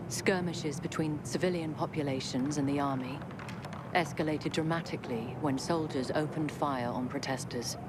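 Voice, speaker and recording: Woman acting a grave, low-pitched radio news anchor. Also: heavy background noise, lightly acted. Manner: solemn, measured, emphatic delivery. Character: grave, principled female radio news anchor in a collapsing city. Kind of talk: scripted radio news bulletin. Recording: loud music bed under voice.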